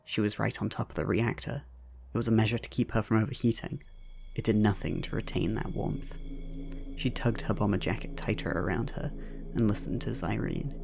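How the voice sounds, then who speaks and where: Low, gravelly man narrating drama. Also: fairly even intonation, fairly quick, darkish tone, soft background music. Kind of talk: scripted dramatic story narration.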